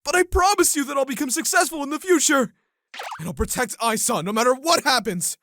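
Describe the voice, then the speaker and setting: A man's voice: very high-pitched, animated, fairly quick, background noise. A man voicing a nervous, earnest young man who pleads for permission to marry in a manga dub. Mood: pleading. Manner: flustered, pleading.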